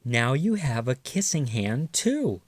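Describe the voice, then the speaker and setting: A man's voice: mid-range voice, very animated, slow pace, no room sound. A man voicing a tearful, sweetly curious little boy raccoon with a pleading, childlike manner for a children's storybook. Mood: happy, eager.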